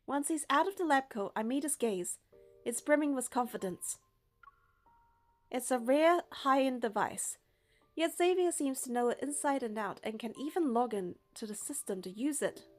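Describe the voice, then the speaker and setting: A woman's voice: high voice, expressive, moderate pace, soft background music. A young woman reading a game's story narration and first-person dialogue aloud in a scripted style. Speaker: narrator of a game playthrough.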